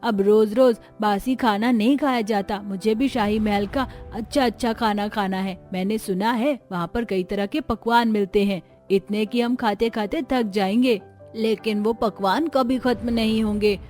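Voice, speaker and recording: A woman's voice: medium pitch, steady tone, moderate pace, a little mellow, faint music behind, close to an everyday voice. A woman voicing a poor, hungry child in a cartoon, whining and plaintive. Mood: longing. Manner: plaintive, whiny. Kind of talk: cartoon voice acting.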